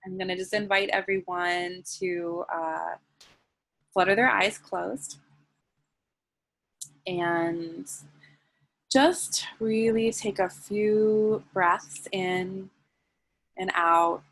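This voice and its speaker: A woman interviewed as a guest, talking in a soft, flowing way with many "like"s and "um"s, then leading a guided breathing practice. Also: on the low side, steady tone, very slow, pauses often, poor audio. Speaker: guest, sensual wellness teacher.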